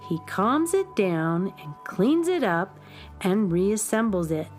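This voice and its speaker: Eager student fan in children's radio play: high, unhurried, highly animated, emphatic explanations. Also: female voice, soft background music. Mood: eager, explaining. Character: eager young music student, knowing adoring fan. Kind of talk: children's radio drama acting.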